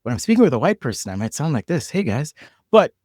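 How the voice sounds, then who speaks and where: A man's voice: a little high, big pitch swings, fast talker, a little mellow, dry recording, clean studio sound. A man from Jamaica with a Jamaican accent, talking reflectively as a podcast guest, often restarting and repeating words as he thinks. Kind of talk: casual conversation.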